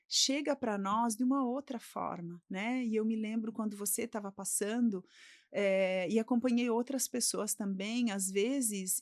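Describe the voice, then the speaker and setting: A middle-aged woman's voice, a little high for her sex, recorded dry with almost no room sound. She is a podcast guest from Brazil telling her own story thoughtfully, often tagging her sentences with "né?". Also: a little mellow, dry recording. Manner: reflective, often ending with "né?". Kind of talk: personal storytelling.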